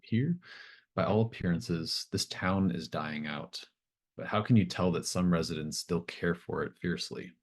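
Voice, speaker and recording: A man's voice: low voice, normal speed. A man narrating a tabletop game as game master, improvising scene descriptions in hesitant, trailing phrases.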